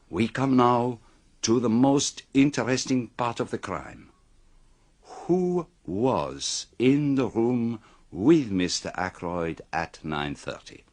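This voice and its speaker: A precise and theatrical detective from a radio mystery. His delivery is slow and deliberate, full of pauses, and his mid-range pitch moves expressively as he lays out a case with formal authority. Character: precise, theatrical male foreign detective revealing a murderer. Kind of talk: radio mystery drama acting.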